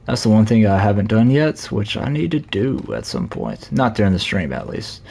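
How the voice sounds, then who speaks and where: A man live-streaming a Minecraft session, chatting casually in a scratchy, slightly hoarse voice while he plays. He rambles, corrects himself and leans on fillers. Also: on the low side, very animated, moderate pace, darkish tone. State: hoarse from talking a lot.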